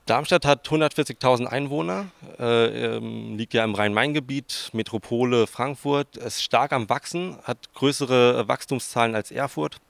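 Creaky young man with southern Hessian accent. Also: mid-range voice, normal speed, few pauses, dry recording. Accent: southern Hessian German. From southern Hesse, Germany. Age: 20s. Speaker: interviewee, student researcher. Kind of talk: informal interview answers.

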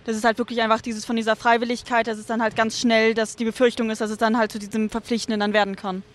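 A woman's voice: on the high side, level tone, fast talker. A woman co-hosting a casual discussion in colloquial, roundabout phrasing. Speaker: co-host.

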